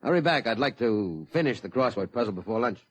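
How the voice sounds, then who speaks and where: A man playing a genial, indulgent middle-aged father in 1950s radio drama style, hearty and folksy, with a husky voice. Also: medium pitch, animated, fast talker, no room sound. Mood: easygoing.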